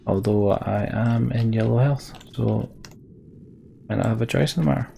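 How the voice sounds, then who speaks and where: A man's voice: mid-range voice, normal speed, creaky, warm tone, a little hiss or hum. A man doing casual live commentary on a video game, rambling and thinking aloud as he plays. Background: game music, keyboard typing.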